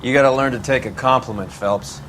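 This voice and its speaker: Actor as sardonic cop, faint street noise. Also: male voice, mid-range voice, a little fast, fairly bright tone, natural voice. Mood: wry. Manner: sardonic, slangy, needling. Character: wry, cynical 1940s police detective, teasing partner. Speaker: detective in a video game. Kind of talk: cinematic game dialogue acting.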